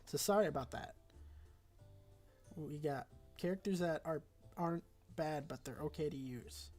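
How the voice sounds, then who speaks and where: Congested raspy man rambling through game talk. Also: fairly high pitch, moderate pace, a little mellow, soft background music. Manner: loose and rambling, with frequent fillers and self-corrections. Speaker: gaming video creator. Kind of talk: casual tier-list commentary.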